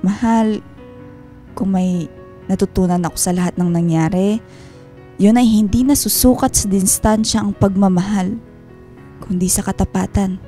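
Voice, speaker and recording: Woman acting tender, wounded Filipina wife, mellow voice. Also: mid-range voice, soft background music, natural voice. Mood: relieved, loving. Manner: earnest, heartfelt, measured delivery. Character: tender, wounded wife and mother back from working abroad. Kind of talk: Filipino radio drama acting.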